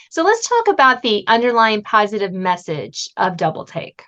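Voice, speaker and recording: Animated woman podcast host, slightly muffled. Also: mid-range voice, moderate pace. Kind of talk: conversational interview. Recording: muffled, band-limited audio.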